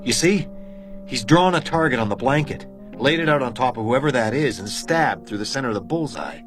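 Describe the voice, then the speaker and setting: A man's voice: medium pitch, expressive, fairly quick, over music, close to an everyday voice. An actor playing a practical, skeptical male police sergeant in a radio crime drama. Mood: grim. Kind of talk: detective radio drama acting.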